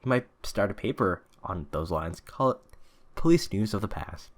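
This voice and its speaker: Man reading as genial, low-voiced gentleman friend. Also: lively intonation, normal speed, lightly acted.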